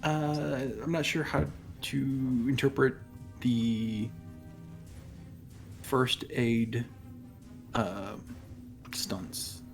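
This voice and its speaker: A man's voice: medium pitch, fairly even intonation, extremely slow, vocal fry, long pauses, faint music behind. A man playing a tabletop roleplaying game, chatting casually with a wry, joking manner.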